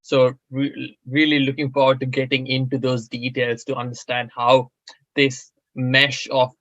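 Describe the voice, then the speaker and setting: A young man hosts a casual podcast chat in a bright, forceful voice that sits at an ordinary pitch. The sound is dry and a little dull. He stumbles over fillers and restarts as he introduces his guests. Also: no room sound. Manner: hesitant, many fillers and restarts. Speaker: podcast host.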